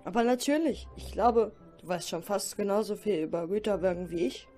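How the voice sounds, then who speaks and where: A woman voicing a kindly, calm male steam engine in a cartoon dub. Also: mid-range voice, a little fast, soft background music, lightly acted. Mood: reassuring.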